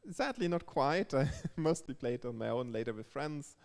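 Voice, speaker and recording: A man with a German accent answers interview questions in a casual way. His voice is medium in pitch, smooth and clear. It rings with the long echo of a large hall. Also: lively intonation, moderate pace, darkish tone. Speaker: guest, board game developer. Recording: echoey hall with long reverb.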